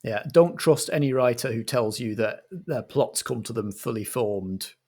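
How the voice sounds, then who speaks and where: A British man hosting and narrating improvised radio comedy in a measured, mock-formal manner. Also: medium pitch, animated, darkish tone. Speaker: host and game master.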